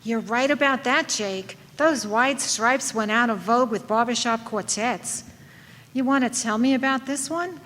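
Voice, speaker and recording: A woman's voice: a little high, measured pace, fairly bright tone. A woman playing a private eye's sassy, caring sweetheart in an old-time radio drama, with a teasing manner. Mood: teasing, exasperated. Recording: echoey hall, background noise.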